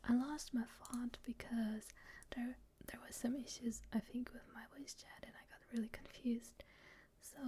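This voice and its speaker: A woman whispering while she plays and describes an online game, shy and a little rambling, with frequent soft laughs. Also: on the high side, a little hiss or hum. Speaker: gamer.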